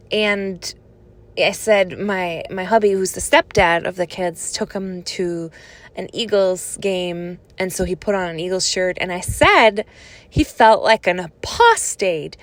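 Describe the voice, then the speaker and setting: A woman in her thirties telling a personal story in a casual, chatty way, full of "like" fillers and acted-out quotes. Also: mid-range voice. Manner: chatty, many "like" fillers, animated quoted voices. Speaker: vlogger telling personal stories.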